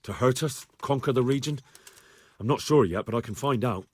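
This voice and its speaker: An actor playing an earnest, respectful young peasant hero who goes undercover as a spy in a video game. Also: male voice, mid-range voice, moderate pace, breathy edge, close to an everyday voice. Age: young adult.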